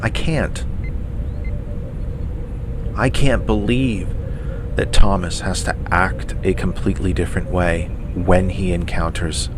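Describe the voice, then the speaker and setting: A man's voice: low-pitched, fairly even intonation, slow, long pauses, background noise. A middle-aged man hosting his own radio show, stuffed up with the sniffles, musing aloud and repeating himself with self-deprecating asides. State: stuffy nose. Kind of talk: casual spoken commentary.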